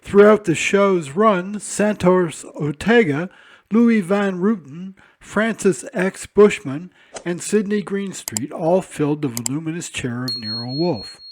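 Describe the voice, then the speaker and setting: A man with a somewhat high voice hosts a classic radio program. His announcing is smooth and even. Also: slow pace. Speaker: program host.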